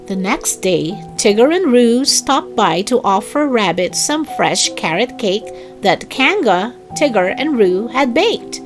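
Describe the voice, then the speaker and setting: A woman narrating a children's story in an expressive, deliberate read-aloud style. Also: mid-range voice.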